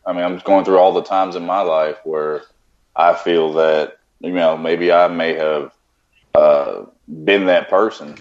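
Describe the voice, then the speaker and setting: A man's voice: low voice, a little mellow, muffled recording. A man musing hesitantly in a casual podcast discussion.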